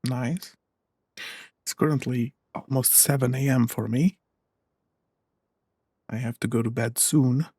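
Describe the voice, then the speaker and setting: Husky male streamer. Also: mid-range voice, steady tone, slow, long pauses, dark tone, no echo. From Portugal. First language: Portuguese. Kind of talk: casual chat with viewers.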